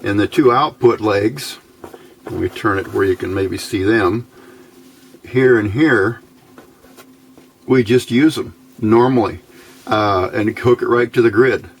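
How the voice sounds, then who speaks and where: Slow-talking, low-voiced man explaining electronics. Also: slight background noise. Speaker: electronics hobbyist demonstrator. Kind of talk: informal hands-on technical explanation.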